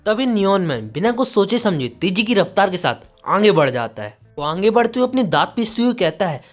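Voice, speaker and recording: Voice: mid-range voice, very animated, brisk, faint music behind. A narrator telling a scripted story in a dramatic, present-tense recap style.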